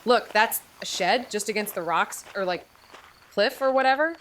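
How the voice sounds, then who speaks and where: A woman voicing a sarcastic, excitable young woman who trades snarky, profane quips in a noir audio drama. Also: mid-range voice, moderate pace, a little hiss or hum, natural voice.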